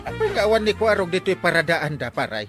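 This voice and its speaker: A man playing a lazy, bossy villager in a comedy audio drama, whiny, excitable and evasive. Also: high voice, fast, over music. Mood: nosy, scheming. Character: lazy bossy village man who orders others to do chores. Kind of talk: comedy radio drama acting.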